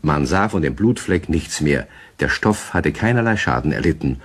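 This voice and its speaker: A man's voice: a bass voice, lively intonation, moderate pace, breathy edge, full character voice. A man narrating a children's radio play as a genial storyteller, in scripted storybook style. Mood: knowing.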